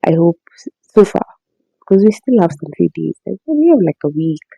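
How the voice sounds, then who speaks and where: A young woman with a Kenyan accent, her voice gravelly and husky from just getting out of bed. She speaks softly and meanders through her thoughts, laughing often. The tone is very dark and the recording dry and slightly dull. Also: on the low side, big pitch swings, moderate pace, dry recording. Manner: soft-spoken, rambling, laughs often. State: raspy, just woken up. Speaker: podcast host. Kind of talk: casual diary-style monologue.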